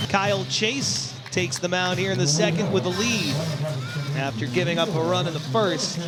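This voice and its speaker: A man calling live baseball play-by-play, descriptive between plays and excitable on big ones. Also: high-pitched. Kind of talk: live sports play-by-play commentary. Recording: crowd and music behind commentary. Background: ballpark crowd, music.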